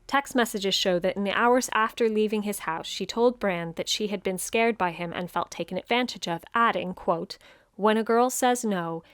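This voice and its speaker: A woman reading a scripted news report in a measured, formal manner. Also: medium pitch, dry recording, clean studio sound. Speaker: guest voice reading quotations.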